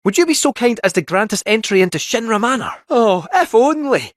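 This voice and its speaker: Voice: mid-range voice, big pitch swings, a little fast, no echo, clean studio sound, close to an everyday voice. An actor voicing a jaunty, theatrical robot cat with a Scottish accent in a video game, helpful, folksy and a little fussy. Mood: fussy, plucky. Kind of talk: animated video game character acting.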